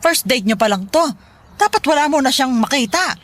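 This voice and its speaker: A woman's voice: high-pitched, lively intonation, fairly quick, fairly bright tone, dry recording. An actress playing a protective, teasing middle-aged Filipino mother in a radio drama, bantering in a motherly way. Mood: protective, encouraging.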